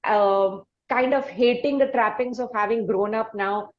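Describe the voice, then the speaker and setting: A woman's voice: mid-range voice, measured pace. A woman hosting a conversational interview, speaking in an effusive, wordy and hesitant way. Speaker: podcast host interviewing a musician. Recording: muffled, echoey room sound.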